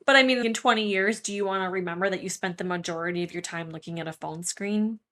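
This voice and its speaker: A woman's voice: mid-range voice, fast, fairly bright tone. Woman, mid-range voice, podcast co-host, casual reflective chat, thoughtful, encouraging, questioning.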